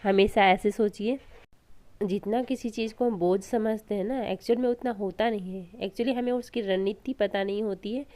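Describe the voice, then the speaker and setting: A young woman vlogger with a sore, hoarse throat, giving an earnest, encouraging motivational talk about studying for exams, with frequent restarts. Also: mid-range voice, steady tone, normal speed, mellow. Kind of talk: casual motivational talk to camera.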